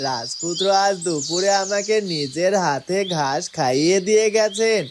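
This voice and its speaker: A woman voicing a gentle, devoted talking cow with an earnest, simple-hearted manner in a fairytale cartoon. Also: fairly low pitch, expressive, normal speed, noisy background, lightly acted. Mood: fond, earnest.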